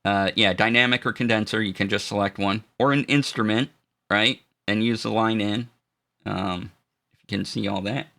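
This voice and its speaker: Low-voiced man demoing gear on stream. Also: vocal fry. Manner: relaxed, halting explanations with fillers and restarts; greets chat viewers warmly. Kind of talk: casual live-stream tech demo. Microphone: studio dynamic mic.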